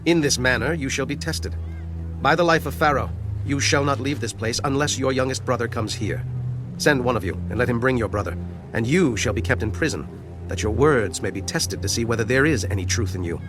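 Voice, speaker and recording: A man acts out a commanding governor in an audio Bible drama. He speaks in a medium-pitched male voice, stern, formal and accusing. Prominent music plays behind him. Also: brisk, natural voice, poor audio. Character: stern, commanding governor of Egypt questioning his brothers. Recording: loud music bed.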